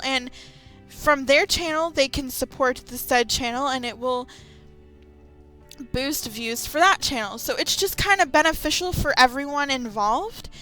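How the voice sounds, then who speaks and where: A woman's voice: sits high, sharp tone. A woman giving casual, rambling opinion commentary as a YouTuber, with sarcastic asides. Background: light background music.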